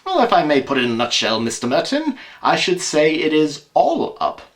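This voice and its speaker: A man acts out a theatrical gentleman detective for an audio drama. His voice is raised and swings widely in pitch, with genteel, precise phrasing and a drily amused, mocking air. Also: wildly swooping pitch, normal speed. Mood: dry amusement. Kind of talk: amateur audio drama acting.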